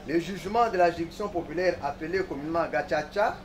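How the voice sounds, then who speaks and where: A man with a slightly high voice addresses a court as prosecutor. He lists evidence in a formal, deliberate, emphatic way. The sound is echoey and picked up from a distance in the courtroom. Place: large indoor courtroom. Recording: echoey courtroom, distant mic, faint noise.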